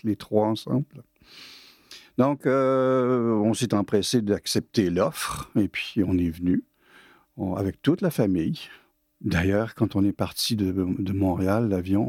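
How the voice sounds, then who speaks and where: A man in his late sixties from Quebec, with a Québécois French accent, telling his own life story casually with many pauses and fillers. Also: lowish voice, creaky, a little mellow, no echo, studio quality. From Quebec, Canada. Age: 60s. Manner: hesitant, many fillers and restarts. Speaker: interviewee.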